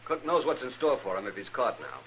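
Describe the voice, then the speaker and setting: An actor playing a rigid, insistent cavalry lieutenant with an American accent, speaking in a clipped, formal way in a western radio drama. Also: male voice, medium pitch, sing-song, brisk, lightly acted. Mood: insistent, indignant. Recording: noisy old radio recording.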